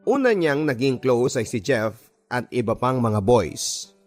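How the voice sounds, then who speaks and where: A man with a Filipino accent narrating a scripted first-person love story in an expressive radio storytelling style. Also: medium pitch, unhurried. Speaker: radio drama narrator reading a listener's letter. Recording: voice over background music. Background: music.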